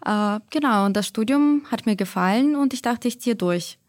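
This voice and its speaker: A woman's voice: a little high, animated, moderate pace, no room sound, clean studio sound. A woman in her twenties from Ukraine, speaking with a Ukrainian accent as a guest in a conversational interview. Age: 20s. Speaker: podcast guest, pharmacy trainee.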